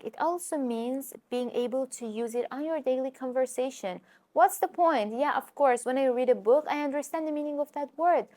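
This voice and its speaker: Lively woman giving language tips. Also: sits high. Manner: lively, direct, talks to viewers, frequent fillers. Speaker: language teacher and YouTuber. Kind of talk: casual vlog-style lesson.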